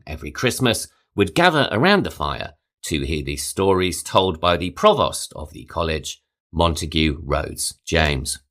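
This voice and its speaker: Male podcast host, low-pitched, expressive scripted narration, bright and forceful tone. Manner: reads quotations aloud, measured and lively.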